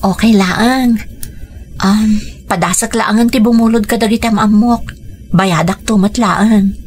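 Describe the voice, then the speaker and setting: A woman's voice: medium pitch, steady tone, a little slow, breathy edge. An actress in a radio drama playing a dutiful, yielding young woman who works abroad. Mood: resigned.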